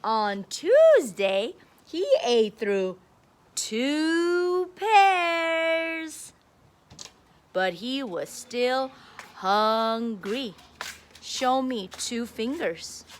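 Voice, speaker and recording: A woman's voice: high-pitched, very animated, very slow. A woman teacher reading aloud and leading counting for young children in a sing-song, encouraging, teacherly way. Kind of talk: animated children's read-aloud and counting lesson.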